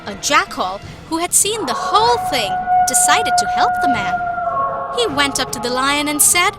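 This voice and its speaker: A woman voicing a patient cartoon mother who tells children fables in an expressive, instructive way. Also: extremely high pitch, normal speed, loud music behind the voice, full character voice. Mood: storytelling. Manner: expressive, didactic. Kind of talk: children's storytelling.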